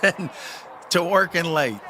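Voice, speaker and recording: A man's voice: on the high side, expressive, slow. A man playing a chatty bartender in an audio drama, with a folksy, casual and informal manner. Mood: amused, easygoing. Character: chatty, easygoing male bartender. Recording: noisy bar-like ambience.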